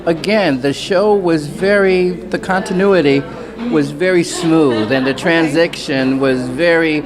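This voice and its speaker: A man's voice: on the high side, unhurried, a crowd in the background. A man interviewing a designer at a fashion event, effusive and gushing with praise. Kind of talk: enthusiastic interview questions. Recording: music playing behind. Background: music.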